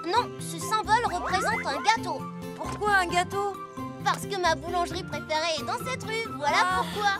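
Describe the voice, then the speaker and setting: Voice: extremely high pitch, normal speed. A performer playing a small, curious, innocent child in a French children's cartoon, eager and sweet. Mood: earnest. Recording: loud music behind the voice.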